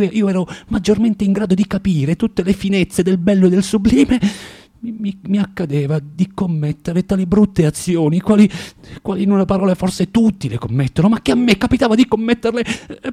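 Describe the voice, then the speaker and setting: An actor playing a bitter, self-loathing recluse in a radio drama monologue, theatrical and halting, feverishly repeating himself in a husky voice. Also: male voice, high-pitched, a little mellow, dry recording, studio quality. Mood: mounting agitation. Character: bitter, self-loathing, rambling male recluse from Saint Petersburg.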